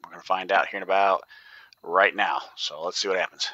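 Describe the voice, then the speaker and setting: A man's voice: low-pitched, steady tone, fairly quick, bright, forceful tone, dry recording, lo-fi sound. A man giving casual commentary while he plays a video game, thinking aloud and often dropping to a whisper. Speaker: gaming YouTuber.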